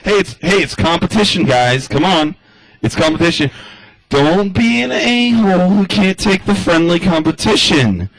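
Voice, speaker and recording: A man's voice: high-pitched, lively intonation, moderate pace, no room sound, poor audio. A man doing a rambling stand-up comedy monologue in the style of a radio address, loose and chatty, full of asides.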